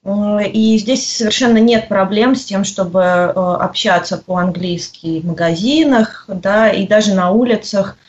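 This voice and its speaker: A woman answering interview questions, pausing often and searching for her words. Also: medium pitch, a little mellow. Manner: hesitant, searching for words with frequent pauses. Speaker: guest. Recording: muffled lo-fi audio.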